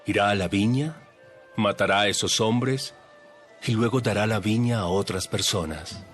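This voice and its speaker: Brief: a man as a wise teacher, low voice, expressive, soft music under. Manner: measured, teaching. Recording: voice over light background music.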